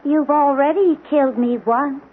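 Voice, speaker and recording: Actress as high-pitched eerie, taunting woman. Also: moderate pace, close to an everyday voice. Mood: eerie, insistent. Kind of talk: old-time radio drama acting. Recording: thin telephone-like old radio, crowd behind.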